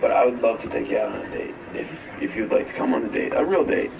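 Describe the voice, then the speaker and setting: A man in casual conversation, speaking in a halting, self-qualifying way on a candid tape. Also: mid-range voice, animated, gravelly. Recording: distant, noisy candid audio tape.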